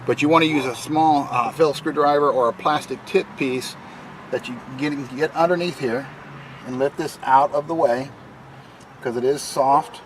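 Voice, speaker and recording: A man's voice: medium pitch, moderate pace, slight background noise. A man presenting a DIY video, talking casually and in a folksy way through each step as he works in or beside a vehicle. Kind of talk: casual hands-on tutorial narration while working.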